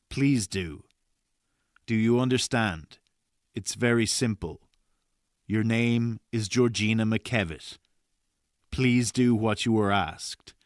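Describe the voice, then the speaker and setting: An actor playing a calmly menacing man giving deliberate, commanding instructions in a scripted message. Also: lowish voice, dry recording, clean studio sound. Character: calmly menacing man addressing a chosen audience member. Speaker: announcer of a podcast episode, then speaker of a recorded message. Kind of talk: scripted narration.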